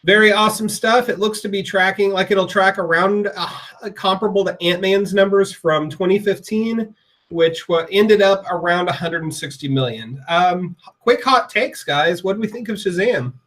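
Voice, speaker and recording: High-voiced upbeat male podcaster. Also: fairly bright tone. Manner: upbeat, conversational, quick to enthuse. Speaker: podcast co-host reading the news. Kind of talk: casual podcast news rundown.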